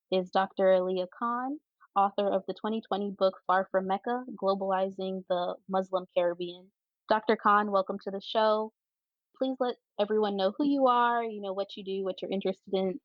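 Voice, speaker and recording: A woman's voice: mid-range voice, no echo. A woman hosting a podcast, speaking in a friendly, conversational way. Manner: friendly, conversational, casual fillers. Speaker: podcast host. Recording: dry, slightly dull audio with faint music.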